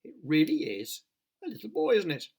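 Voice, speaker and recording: A jumpy fire chief , put on by a man reading aloud, booms and blusters. His voice lurches up and down, slightly husky. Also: huge pitch swings, moderate pace, exaggerated voice. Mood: flustered. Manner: loud and blustering.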